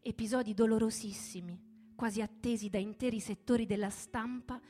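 A woman's voice: fairly high pitch, fairly even intonation, normal speed, warm tone. A woman reading a dramatic scripted narrative in a radio theatre performance. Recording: music playing behind the voice. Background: music.

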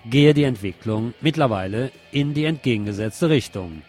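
Male news presenter, scripted reading, medium pitch, faint music underneath. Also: lively intonation, moderate pace, a little mellow.

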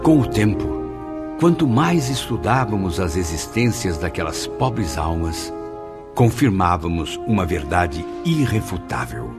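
A man narrating a spiritual radio play in a solemn, measured way. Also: low-pitched, big pitch swings, over loud music, exaggerated voice.

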